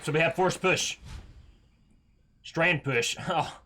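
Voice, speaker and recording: A man's voice: on the high side, takes it slowly, pushed and bright, a little hiss or hum. A man giving casual, blunt game reaction commentary as a streamer, slangy and full of swearing. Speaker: gaming streamer. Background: faint game music.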